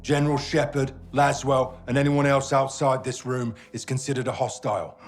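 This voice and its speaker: An actor playing a stoic, commanding special forces lieutenant in a military game, terse and deadpan. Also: male voice, medium pitch, moderate pace, slight background noise, close to an everyday voice. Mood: resolute. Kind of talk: cinematic military game acting.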